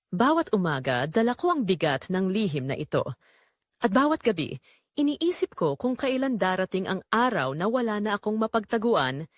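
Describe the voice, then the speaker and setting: A woman acts out a pregnant young wife burdened by a secret affair in an audio drama. Her voice is on the low side and swings expressively as she confesses in a measured, anguished way. The sound is dry and close. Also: no room sound. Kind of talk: first-person dramatic confessional narration.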